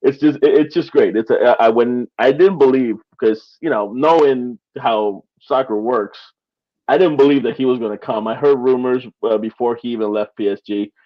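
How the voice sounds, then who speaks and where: Man from Peru, mid-pitched, casual soccer talk with many restarts, slightly dull lo-fi sound. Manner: halting, restarts often, laughs between points. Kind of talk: casual sports chat.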